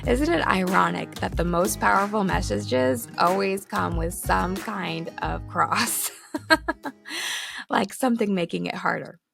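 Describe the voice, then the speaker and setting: A woman hosting a podcast who is losing her voice and sounds hoarse. She talks candidly and laughs between her points as she teaches at home into a close microphone. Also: medium pitch, unhurried, music in the background. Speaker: podcast host. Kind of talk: casual teaching talk. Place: home with children nearby. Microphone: studio microphone, close.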